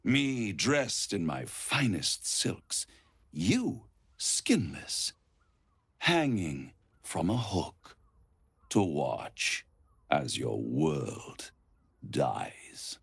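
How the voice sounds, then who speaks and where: A suave game devil, very low and gravelly. He savours his bargains in a silky, grandiose manner that swoops from menace to gloating theatrics, all over a musical score. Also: sing-song, extremely slow, fairly bright tone, heavily acted. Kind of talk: dramatic video game acting.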